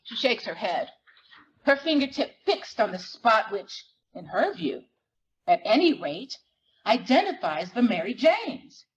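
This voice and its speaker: A woman reading literary fiction dramatically, in measured, deliberate phrases with weighted pauses. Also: a little high, very animated, muffled recording. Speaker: dramatic reader at a library performance. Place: live reading at a library event.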